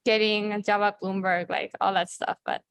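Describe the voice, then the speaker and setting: A woman in her thirties from Chile, a native Spanish speaker, talking casually as an interview guest, halting with many fillers and restarts. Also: mid-range voice, flat delivery, a bit bright, clean tone, no room sound. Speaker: guest, journalist and author.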